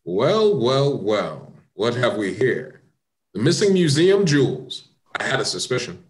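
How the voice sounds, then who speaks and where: A man with an American accent playing a firm, knowing police detective in a radio play, commanding and deliberate. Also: mid-range voice, big pitch swings, a little hiss or hum, rough recording. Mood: smug.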